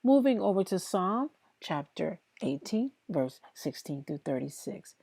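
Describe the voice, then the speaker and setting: Female scripture reader, very unhurried and emphatic, lowish pitch, mellow tone. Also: very animated, vocal fry, slightly rough, dry recording. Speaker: host reading scripture aloud. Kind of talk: slow devotional Bible reading aloud, with informal asides to listeners.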